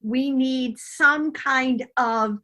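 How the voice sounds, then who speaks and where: Bright, higher-voiced middle-aged woman being interviewed. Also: very slow. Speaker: podcast guest, novelist. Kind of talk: conversational interview.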